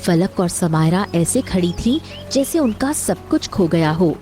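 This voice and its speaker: A woman's voice: on the low side, animated, a little slow, music in the background. A woman narrating a scripted cartoon story as its storyteller.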